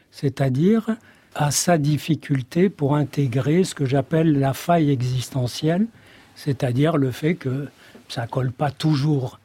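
An older man from Morocco whose first language is Arabic, speaking as a guest in an intellectual panel discussion, measured and reflective with frequent hesitations. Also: on the high side, warm tone, husky, no room sound.

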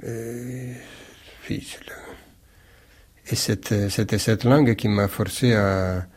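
A man from Naples whose first language is Neapolitan, speaking with an Italian accent as a writer in an interview, halting and searching for words with many repeated starts. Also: lowish voice, steady tone, a little mellow. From Naples, Italy. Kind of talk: reflective interview answer.

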